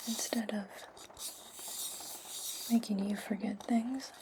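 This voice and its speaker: A menacing witch acted by a woman whispers her orders to a servant in an ASMR roleplay. Her breathy delivery is very flat and unhurried, coolly controlling, with noticeable noise behind it. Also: medium pitch, close to an everyday voice. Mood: calm, calculating. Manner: slow, deliberate, commanding.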